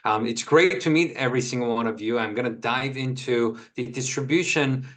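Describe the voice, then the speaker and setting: A man's voice: mid-range voice, normal speed, a bit bright. Earnest man on a video call. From Korea. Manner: earnest, friendly, many fillers. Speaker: sales executive.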